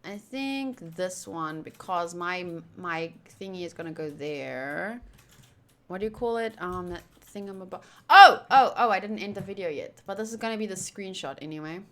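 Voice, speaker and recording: A woman livestreamer chatting casually to her viewers in a playful, teasing and animated way. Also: lowish voice, normal speed. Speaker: streamer hosting a game playthrough.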